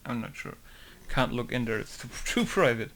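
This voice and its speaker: A man modding a game, chatting casually and rambling to himself while he works, with quiet mutters between his remarks. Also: medium pitch, lively intonation, moderate pace, slight background noise. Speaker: game modder. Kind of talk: casual talk while working.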